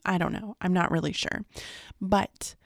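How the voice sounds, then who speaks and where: A rambling, chatty woman, sleepy and sliding into a gravelly vocal fry. Her voice sits a little low and is recorded dry, close to a camera mic. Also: fairly even intonation, unhurried, no room sound. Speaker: vlogger. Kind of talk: casual daily vlog chat.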